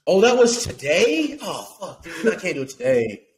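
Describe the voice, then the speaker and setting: A man's voice: high voice, huge pitch swings, moderate pace. A man in his thirties, congested and laid-back, chatting on a video call headset. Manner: laid-back, crude humor. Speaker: podcast co-host. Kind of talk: casual chat.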